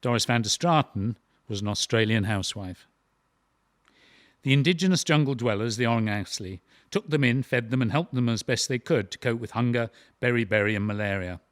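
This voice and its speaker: A man's voice: mid-range voice, a little fast, no echo, studio quality. A man narrating a historical audio drama from a script, in documentary style.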